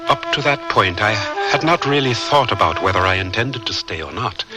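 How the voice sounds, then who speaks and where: A man's voice: fairly low pitch, very animated, fairly quick, over loud music. An actor playing an earnest, lovestruck young African frontiersman, sincere and a little hesitant, in a radio drama. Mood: earnest reminiscence. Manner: earnest, storytelling, hesitant at times. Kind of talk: radio drama narration and acting.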